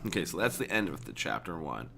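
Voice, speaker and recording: A man's voice: very deep voice, sing-song, fast talker, vocal fry, sharp tone, slight background noise. A man who is mildly drunk, reading a book aloud informally and stumbling over words, with casual commentary.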